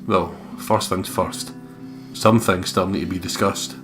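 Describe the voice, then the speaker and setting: Guy with a low voice doing a visual novel lets play, guitar music going behind him. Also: steady tone, a little slow. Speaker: let's play host. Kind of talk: let's play: reading a visual novel aloud with banter. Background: guitar music from the game.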